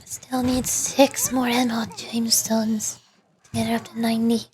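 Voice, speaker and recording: A woman with a very high, girlish pitch streams a game. She chats casually and softly, sometimes murmuring, with some noise behind her. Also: level tone, unhurried. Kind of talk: casual gaming livestream chat.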